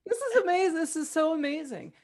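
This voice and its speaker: A woman's voice: very high-pitched, animated, fast, a little mellow. A woman hosting a podcast, speaking conversationally as she interviews her guests. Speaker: podcast host.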